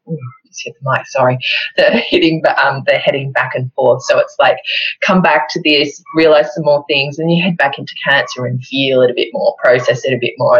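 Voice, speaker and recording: A woman's voice: very deep voice, slightly rough. A woman with an Australian accent, talking casually and reflectively as co-host of an astrology show. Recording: dull, lo-fi microphone sound.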